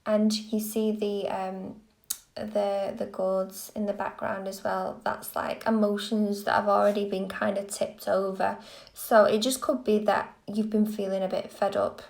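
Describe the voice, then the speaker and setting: A woman reading tarot on a live stream, gentle and soothing, a little croaky from a cold. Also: medium pitch, little pitch movement, a little slow. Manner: gentle, soothing, lots of okays. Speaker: tarot reader.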